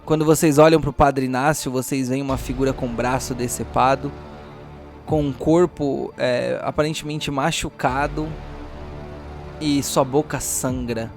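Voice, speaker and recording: A man's voice: medium pitch, fairly even intonation, a little slow, faint music behind. A man with a Brazilian accent narrating a tabletop role-playing game as game master.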